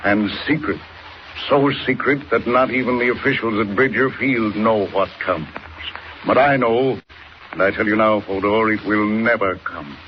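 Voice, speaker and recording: An actor playing a cold, secretive professor villain in a 1940s radio serial, commanding, measured and menacing. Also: male voice, lowish voice, natural voice. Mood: conspiratorial, boastful. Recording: dull, noisy old radio recording.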